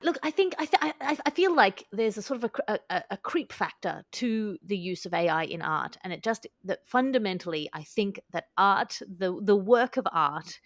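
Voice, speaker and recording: A woman's voice: mid-range voice, animated, moderate pace, few pauses, dry recording, studio quality. A woman hosting a comedy podcast, chatting in an articulate, wordy and wry manner. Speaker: podcast host. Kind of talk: comic panel chat.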